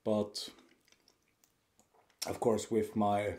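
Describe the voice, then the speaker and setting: A deep-voiced Austrian man hosts an ASMR eating video. His English carries a Viennese accent with a trace of Scottish. He chats calmly and casually between mouthfuls. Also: drawn-out words. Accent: Viennese Austrian with a Scottish tinge. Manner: relaxed, chatting between bites. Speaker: mukbang host.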